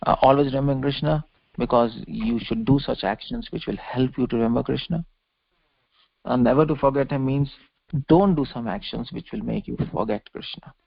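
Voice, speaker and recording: A male preacher lectures on scripture in a gravelly, creaky voice. He explains things didactically and repeats key phrases for emphasis. The sound is dull and muffled, like a lo-fi conference call, with very little room echo. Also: medium pitch, brisk. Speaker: religious preacher giving a lecture.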